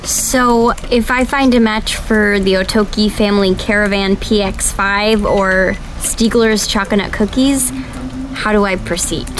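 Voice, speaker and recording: An actress playing an earnest young female government video analyst who speaks with calm customer-service politeness. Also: medium pitch, a little slow, slight background noise, natural voice, poor audio. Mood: uneasy. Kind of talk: audio drama acting.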